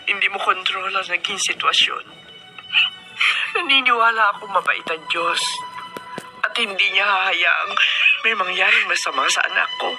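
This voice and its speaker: A woman playing a patient, middle-aged mother in a Filipino radio drama, speaking in an earnest, gently pleading way. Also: medium pitch, wildly swooping pitch, moderate pace, long pauses, poor audio. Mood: sorrowful, reassuring. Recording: radio drama with music bed.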